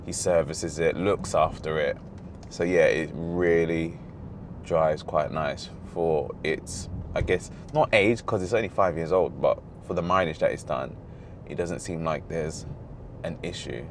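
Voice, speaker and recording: A man hosting a car review vlog, talking casually from behind the wheel in a rambling, self-correcting way, his voice a little hoarse. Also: a bass voice, measured pace. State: slightly hoarse, losing voice. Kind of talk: casual driving commentary. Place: inside a moving car. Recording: car road noise behind. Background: engine and road noise.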